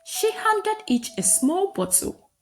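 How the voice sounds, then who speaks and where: A woman's voice: extremely high pitch, sing-song, slow, slight background noise. A woman narrating a scripted folktale as a storyteller, in dramatic short sentences.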